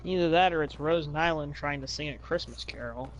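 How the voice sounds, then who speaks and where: A man's voice: a little high, a little fast, muffled recording, a little hiss or hum. A man joking and rambling casually with friends in a party chat.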